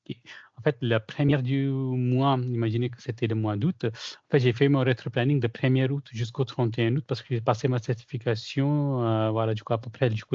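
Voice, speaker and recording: A man talks through his experience in a relaxed, halting way, with lots of fillers and restarts. French is not his first language. His voice is dry, with no room echo, and comes through a muffled, lo-fi call. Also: mid-range voice. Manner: hesitant, many fillers and restarts. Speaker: certified former trainee sharing his experience. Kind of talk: casual testimonial in a video call Q&A.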